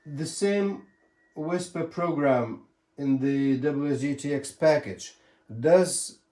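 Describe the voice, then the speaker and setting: A man presenting a ham radio hobby demonstration, explaining things in a deliberate, methodical way. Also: on the high side, dark tone.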